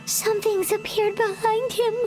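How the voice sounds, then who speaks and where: A woman voicing a tiny, excitable, childlike fairy companion in a video game, squeaky and exclamatory. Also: moderate pace, loud music behind the voice, low-quality recording. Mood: startled. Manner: squeaky, exclamatory, speaks in third person.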